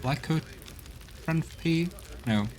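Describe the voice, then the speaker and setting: A man's voice: on the high side, drawn-out words, darkish tone. A man giving casual let's play commentary, musing and hesitant as he thinks aloud and reads out game text. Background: game music.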